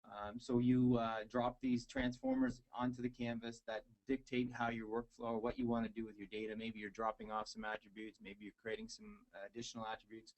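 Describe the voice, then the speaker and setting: A man from Nova Scotia presenting software demos in a webinar, easygoing, with many fillers and hesitant restarts. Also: medium pitch, a little fast, hardly any pauses, poor audio. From Nova Scotia, Canada. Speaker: technical presenter.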